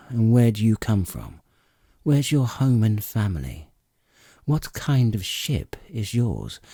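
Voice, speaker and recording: A man voicing a thoughtful, mournful young prince, grave and measured, in an epic audiobook reading. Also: on the low side, mellow, slightly rough, dry recording, studio quality, heavily acted.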